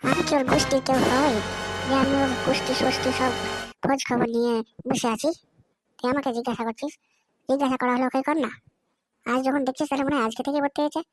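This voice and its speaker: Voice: sits high, natural voice, low-quality recording. A performer voicing a preachy, easily hurt cartoon schoolboy sidekick who talks in whiny slang. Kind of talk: comedy cartoon dialogue.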